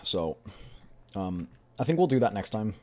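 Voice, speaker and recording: A man's voice: lowish voice, very animated, normal speed, background noise. A man livestreaming a game, chatting casually with his audience. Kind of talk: casual game stream chat.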